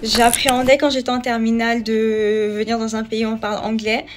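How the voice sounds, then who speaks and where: Higher-voiced young woman lawyer. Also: flat delivery, fairly quick, soft background music.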